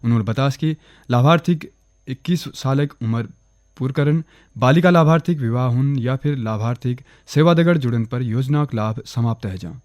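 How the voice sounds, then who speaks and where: A man with a Kumaoni accent reading a regional radio news bulletin in a steady, formal way. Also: mid-range voice, moderate pace, dry recording, clean studio sound. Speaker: newsreader. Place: radio studio.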